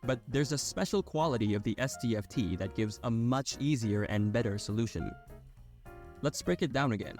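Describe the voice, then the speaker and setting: A man's voice: medium pitch, lively intonation, normal speed, darkish tone, soft background music. A man delivering a scripted technical explainer voice-over, addressing the listener directly as he explains. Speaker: narrator of an educational explainer video.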